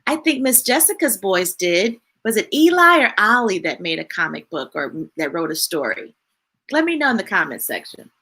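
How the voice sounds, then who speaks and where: A woman's voice: mid-range voice, very animated, moderate pace, pushed and bright. A woman reading picture books aloud to children, breaking off for chatty asides to viewers. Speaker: children's storytime reader.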